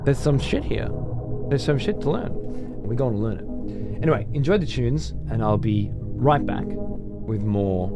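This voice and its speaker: A hoarse man casually commenting on a game he is streaming, rambling and swearing freely. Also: mid-range voice, lively intonation, measured pace, loud music behind the voice.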